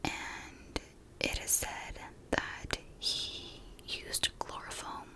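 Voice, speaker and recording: A woman whispering a true crime story in a soft, breathy ASMR style, unhurried and informal, like chatting to viewers. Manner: unhurried, informal, conversational narration.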